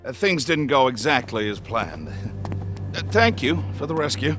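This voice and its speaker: Actor as male scientist, video game cutscene, polite, hesitant, sheepish, varied pitch, music behind. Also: very animated, moderate pace, natural voice. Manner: hesitant, courteous.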